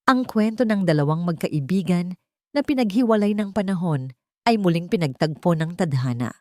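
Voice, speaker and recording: A woman's voice: fairly low pitch, lively intonation, moderate pace, warm tone, no room sound, clean studio sound. A woman reading a scripted dramatic story as its narrator.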